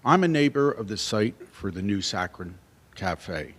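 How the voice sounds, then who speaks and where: A soft-spoken man at a podium microphone, giving a public comment at a council meeting with plain, measured speech and some fillers. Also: fairly low pitch, big pitch swings.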